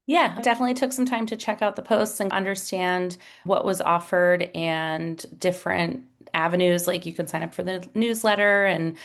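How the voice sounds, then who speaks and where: A woman's voice: on the low side, fairly even intonation, moderate pace. A woman who is a guest, talking enthusiastically about an online community, with "like" fillers. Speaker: guest, support professional. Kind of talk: casual video call interview.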